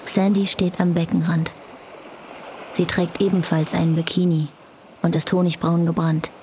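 A woman's voice: lowish voice, little pitch movement, normal speed, darkish tone, a little hiss or hum. A woman reading scripted literary narration as the narrator of a radio drama. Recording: faint music behind.

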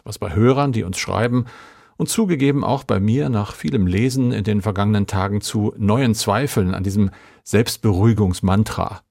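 A man's voice: fairly low pitch, creaky, a little mellow. A man hosting a podcast, reading scripted moderation. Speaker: podcast host.

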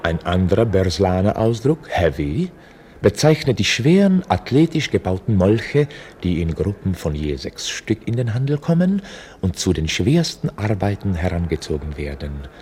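A formal chronicler presenting a mock documentary for radio. His voice sits fairly low and swings widely in melody as he explains, with theatrical variety. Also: sing-song, moderate pace, a little mellow, slight background noise. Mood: explanatory, formal. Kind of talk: scripted radio drama narration.

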